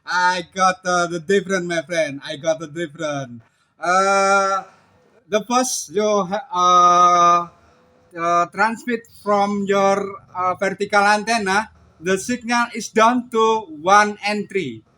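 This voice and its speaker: A high-pitched man with an Indonesian accent makes a casual ham radio contact. He talks in halting bursts and repeats short phrases as he goes. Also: steady tone, sharp tone. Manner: halting, repetitive, often says "my friend". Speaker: amateur radio operator.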